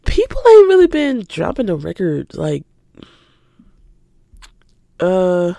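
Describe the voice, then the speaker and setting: A woman's voice: on the low side, huge pitch swings, slow, vocal fry, mellow, no echo, clean studio sound. An eighteen-year-old woman from Atlanta hosting her own podcast in casual, rambling chat, sounding stuffy from a cold. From Atlanta, Georgia. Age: teens. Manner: chatty, rambling, laughs easily. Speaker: podcast host.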